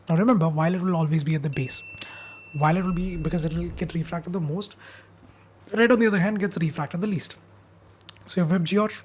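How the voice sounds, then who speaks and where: A woman's voice: fairly low pitch, a little mellow, slightly rough. A young woman, teaching an upbeat exam revision class and coaxing viewers to answer in the chat, while she is losing her voice. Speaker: online tutor.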